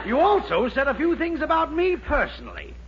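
A man's voice: very high voice, wildly swooping pitch, brisk, heavily acted. An actor playing a huffy, indignant male store boss with a blustering manner, in 1940s radio comedy style. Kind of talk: 1940s radio sitcom acting. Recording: muffled, noisy 1940s radio recording.

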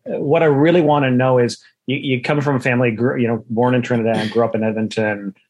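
A man hosting an interview, asking questions in a halting way and rephrasing as he goes. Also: mid-range voice, steady tone, a little mellow. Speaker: podcast host. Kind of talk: casual interview.

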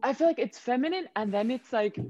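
A woman's voice: a little high, expressive, quick pace, a little mellow, no room sound, highs cut off. A young woman from Finland whose first language is Swedish, a model chatting as an interview guest and telling stories with lots of "like" and "um". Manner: chatty, storytelling, frequent "like" and "um". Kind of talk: casual interview chat.

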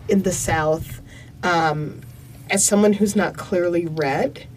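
A woman's voice: on the low side, takes it slowly, a little mellow, distant mic. Curious woman interviewer, slightly off-mic. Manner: curious, probing questions. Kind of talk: conversational interview. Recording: voice a bit off-mic with faint hiss.

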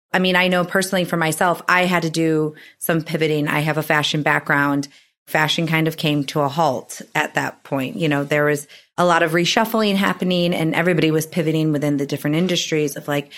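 A woman's voice: low voice, fairly bright tone. A woman hosting a podcast, giving a friendly welcome and asking chatty questions. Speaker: podcast host.

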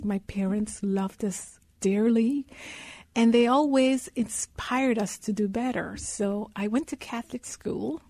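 A middle-aged woman from Guyana telling personal stories as an interview guest, with deliberate, emphatic phrasing. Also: medium pitch, mellow, dry recording. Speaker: interview guest, consulting executive.

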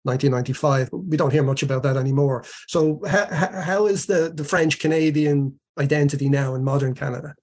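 A man's voice: on the high side, brisk, few pauses, a little mellow. A male podcast host asking curious, rambling interview questions.

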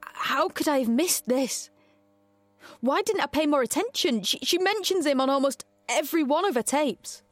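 A woman's voice: medium pitch, moderate pace, a little mellow, no room sound, soft background music, lightly acted. Actress doing young woman on the run, defiant and guarded, audio drama, natural midrange voice. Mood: tense, shaken. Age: young adult. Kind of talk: audio drama acting with intimate first-person narration.

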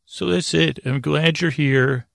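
A man hosting a bedtime podcast, meandering and halting as he rambles, often trailing off mid-thought. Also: fairly high pitch, creaky, a bit bright, dry recording, studio quality. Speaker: podcast host.

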